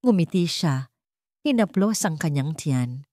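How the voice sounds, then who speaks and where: Low-voiced woman narrating a romantic drama. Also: animated, measured pace, mellow, no echo, clean studio sound. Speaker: story narrator.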